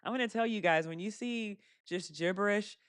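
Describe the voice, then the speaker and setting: A woman with a fairly deep voice hosting a casual live stream Q&A. She laughs often and reads viewer comments aloud. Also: normal speed, smooth, no echo, clean studio sound. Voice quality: deeper voice for a woman.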